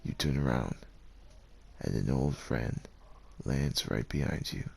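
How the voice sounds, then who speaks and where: A man's voice: a bass voice, slow, vocal fry, a little mellow, slightly rough. A man narrating a scripted ASMR story as a voice actor, addressing the listener directly.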